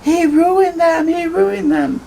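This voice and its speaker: Storybook little girl, put on by a woman: high, childlike, emphatic, chatty and quick to take offence. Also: steady tone, vocal fry, mellow, slight background noise, heavily acted, poor audio. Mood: angry. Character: Viviana, colorful chatty young schoolgirl, easily upset.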